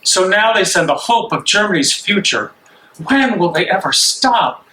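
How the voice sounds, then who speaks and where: A man's voice: sits high, animated, moderate pace, bright, forceful tone, poor audio. A man acting an angry, bitter imprisoned dissident in an amateur stage reading, agitated, challenging and insistent.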